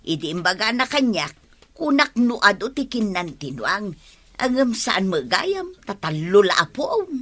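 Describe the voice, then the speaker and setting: Village wife for radio comedy; complaining, emphatic, bright edgy female voice. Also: mid-range voice, very animated, measured pace. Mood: plaintive. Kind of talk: Ilocano comedy radio drama acting.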